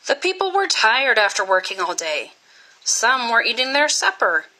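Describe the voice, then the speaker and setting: A woman reading a children's story aloud in an expressive, scripted style with dramatic, deliberate phrasing. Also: fairly high pitch, low-quality recording. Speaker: storybook reader.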